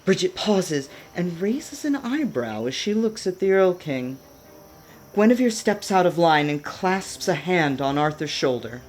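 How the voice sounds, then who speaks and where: A woman's voice: fairly low pitch, sing-song, a little slow, a little mellow. A woman reading scripted narration for a radio play, describing the action as narrator. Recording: music behind the narration. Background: background music.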